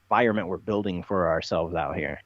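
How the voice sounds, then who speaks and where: A man's voice: low voice, fairly quick. A man in a conversational podcast discussion, explaining his views in an opinionated, emphatic way. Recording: dull, roomy, echoey podcast audio.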